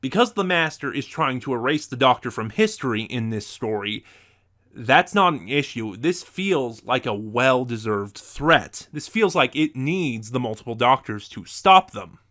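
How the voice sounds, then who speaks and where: Male video reviewer, mid-pitched, talking viewers through semi-scripted commentary. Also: animated, unhurried, fairly bright tone.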